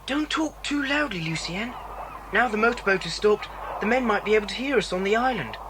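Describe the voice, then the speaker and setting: A performer acts as a practical, questioning boy in a children's adventure drama. The voice sits at a medium pitch and is matter-of-fact, with faint cassette hiss behind it. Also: lively intonation, a little fast, lightly acted. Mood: cautious. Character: Philip, sensible practical boy, one of the children. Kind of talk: children's audiobook drama acting.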